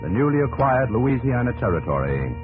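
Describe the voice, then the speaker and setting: A man's voice: medium pitch, very animated, a little fast, low-quality recording. A man narrating a 1950s radio drama in a solemn, portentous style with short, weighty phrases. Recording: old 1950s radio recording with music.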